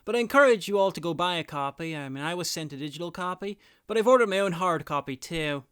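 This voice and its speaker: A male reviewer with a smooth, slightly high voice. He narrates from a script with wry asides, recorded close and dry. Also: expressive, fast, fairly bright tone, no room sound. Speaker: video reviewer, host. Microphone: close, dry voice-over mic.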